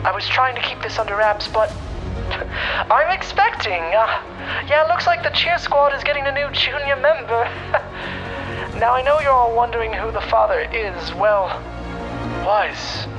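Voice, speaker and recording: A woman acts out a gossipy, smug teenage girl from an audio comedy story. Her voice is high and excitable, heard through a muffled phone filter with loud music underneath. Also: unhurried. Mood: smug, excited.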